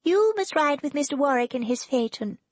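A woman's voice: high voice, animated, brisk, no room sound, pitched above the performer's own voice. A woman voicing a petulant, melodramatic young society lady who wails and gives orders. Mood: imperious. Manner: whiny, imperious, melodramatic.

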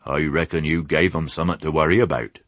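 A man voicing a quiet, slow-spoken older farmer of very few words. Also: very deep voice, dry recording. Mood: calm. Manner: few words, calm and laconic.

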